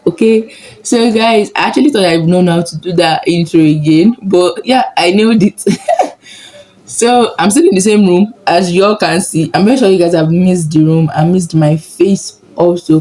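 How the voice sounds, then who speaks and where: A woman's voice: lowish voice, mellow, rough recording. A young Nigerian woman with a Nigerian accent, chatting casually and upbeat in a sit-down vlog. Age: young adult. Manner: chatty, upbeat, laughs often. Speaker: vlogger, medical student.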